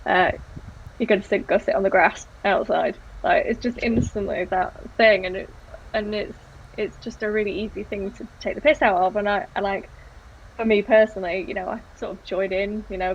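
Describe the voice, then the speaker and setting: A woman's voice: mid-range voice, fairly even intonation, moderate pace. Candid woman on a dull, lo-fi line. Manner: candid, hesitant, with filler words. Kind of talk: casual discussion. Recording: dull lo-fi sound with faint noise.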